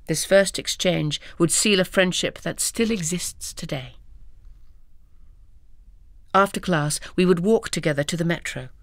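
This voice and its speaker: A woman narrating a memoir audiobook in a measured, expressive reading. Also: fairly low pitch, dry recording.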